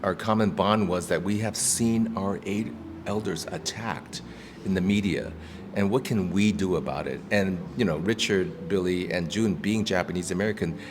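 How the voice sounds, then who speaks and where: A man's voice: low-pitched, normal speed. A man, an actor and activist, talking earnestly and reflectively in a casual interview, with frequent restarts and ums. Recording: roomy reverb with background noise.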